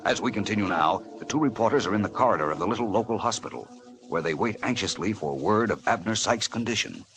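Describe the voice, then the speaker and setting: A man's voice: on the low side, expressive, quick pace, a little hiss or hum. A man narrating a radio serial recap in a dramatic, theatrical 1940s announcer style. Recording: old radio recording, loud music behind.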